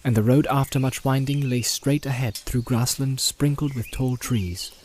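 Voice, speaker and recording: A man with a mellow, medium-pitched voice narrates a fantasy tale. His delivery is deliberate and suspenseful, set over a faint soundscape of nature effects. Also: fairly even intonation, slight background noise. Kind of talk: scripted audiobook narration, dramatic storytelling. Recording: home-made, nature soundscape mixed behind. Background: animal and nature sound effects.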